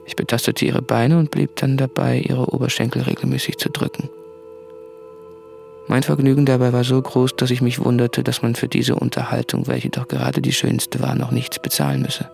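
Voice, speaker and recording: An actor playing a brooding, tormented diarist in a radio play, confessing in an introspective, halting monologue. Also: male voice, mid-range voice, flat delivery, creaky, darkish tone, soft background music. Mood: haunted.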